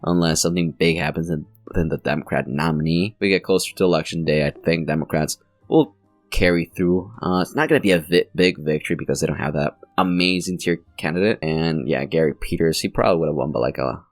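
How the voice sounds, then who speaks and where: Deep-voiced man with a strained voice. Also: fast, dry recording, soft background music. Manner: rambling, self-correcting, with frequent fillers. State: voice strained and sore. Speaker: political channel host. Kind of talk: casual election prediction commentary.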